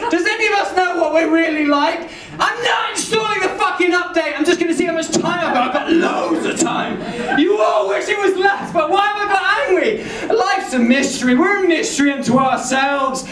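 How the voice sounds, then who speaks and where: A man improvising a spoken-word poem in a small venue, rambling and playful with sudden shouts. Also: very high-pitched, moderate pace, a crowd in the background. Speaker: poet. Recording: echoey room from far off.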